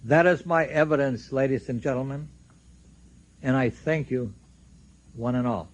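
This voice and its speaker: Slow, emphatic American man giving a speech. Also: mid-range voice. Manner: emphatic, deliberate, with drawn-out pauses. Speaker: seminar speaker and researcher. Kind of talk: impassioned public speech to an audience.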